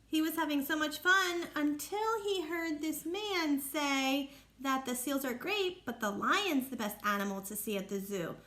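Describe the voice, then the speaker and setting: A woman with a high-pitched voice talks to young children as their teacher. She explains simply and keeps asking them questions about the story. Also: animated, a little slow, few pauses, fairly bright tone.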